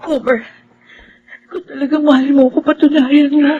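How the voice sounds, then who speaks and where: A woman's voice: high-pitched, little pitch movement, normal speed, narrow, tinny audio, faint music behind, natural voice. An actress playing a desperate, tearful, intense woman in a Tagalog radio melodrama. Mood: desperate, demanding. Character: desperate, emotional woman in anguished family drama.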